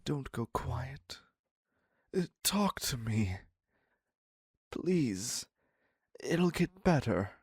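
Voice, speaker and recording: Man playing a breathy, very slow obsessive captor. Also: medium pitch, very animated, dry recording, studio quality, heavily acted. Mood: earnest, devoted. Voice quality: breathy, husky, often dropping to a whisper. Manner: halting, pleading, very slow with long pauses. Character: obsessive, needy male captor, former patient devoted to his captive doctor. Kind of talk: intimate dark romance audio roleplay.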